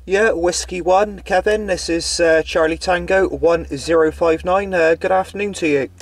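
A man's voice: high voice, steady tone, moderate pace. A man talking casually as a CB radio operator, recorded in and around a parked vehicle. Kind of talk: casual radio chat and log commentary. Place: inside a parked vehicle and outdoors nearby. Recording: clear background noise on camera audio.

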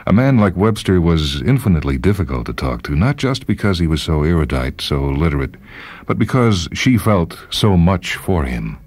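A man's voice: very deep voice, darkish tone. A man narrating a literary short story dramatically, with music behind him.

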